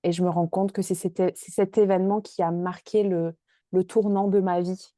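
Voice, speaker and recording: Hesitant young woman, low voice. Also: little pitch movement, moderate pace, warm tone, no room sound, studio quality. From Marseille, France. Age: 20s. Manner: hesitant, many fillers and restarts. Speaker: podcast guest. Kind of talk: casual interview chat.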